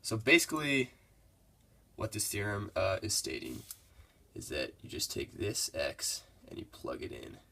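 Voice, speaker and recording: A man's voice: on the low side, wildly swooping pitch, a little slow, gravelly, pushed and bright. A congested young man giving a casual, laid-back math lesson over his worked problems. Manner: laid-back, loose and casual, with many fillers.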